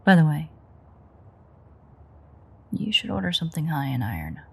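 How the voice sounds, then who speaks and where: A woman's voice: low-pitched, big pitch swings, moderate pace, a little hiss or hum, heavily acted. A woman playing a playful, flirtatiously menacing ancient vampire in an intimate roleplay audio drama, murmuring wryly and often close to a whisper. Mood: dry, teasing. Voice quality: breathy, husky, often near-whisper. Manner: teasing, wry, murmured.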